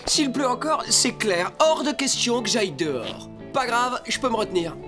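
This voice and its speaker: An actor plays a cocky male cartoon fox over loud music. The delivery is lively and casual, full of grumbling and boasting, with a touchy edge. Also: mid-range voice, moderate pace, lightly acted. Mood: defiant. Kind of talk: French cartoon dub acting. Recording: music behind the voice.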